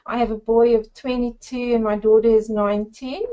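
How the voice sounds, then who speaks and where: Upbeat South African woman, slightly high. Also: flat delivery, moderate pace, warm tone, lo-fi sound. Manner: effusive, upbeat, addresses listeners as friends. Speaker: network marketing presenter. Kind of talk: persuasive sales pitch on a group call.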